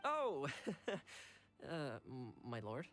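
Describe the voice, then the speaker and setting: A loyal retainer in a video game. The voice swoops widely in pitch, animated and deferential, with good-natured devotion and music behind it. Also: male voice, extremely slow, heavily acted. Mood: flustered. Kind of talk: video game character voice acting.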